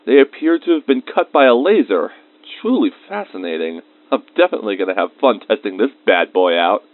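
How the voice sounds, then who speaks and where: A man's voice: fairly low pitch, sing-song, fairly quick. A man playing an easily irritated researcher, dictating lab log entries as scripted narration in an audio drama. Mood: eager, curious. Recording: muffled, band-limited close dry recording.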